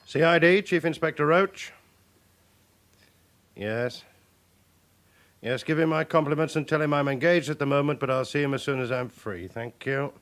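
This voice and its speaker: An actor playing a British chief inspector in a radio crime drama, speaking in a dry, formal, measured manner. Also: male voice, on the high side, a bit bright, slight background noise, close to an everyday voice. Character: senior police chief inspector, dryly authoritative.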